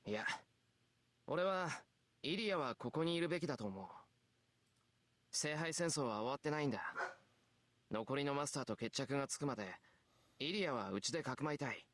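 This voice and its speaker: Stubborn, protective teen boy played by a man, anime game style, very lively pitch, rapid delivery. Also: natural voice. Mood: firm. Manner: earnest, insistent. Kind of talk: anime visual novel voice acting.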